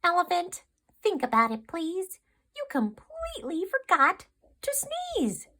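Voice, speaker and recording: A woman voicing a cheeky little gray male mouse in a storybook, squeaky and teasing. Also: wildly swooping pitch, unhurried, pushed and bright, exaggerated voice.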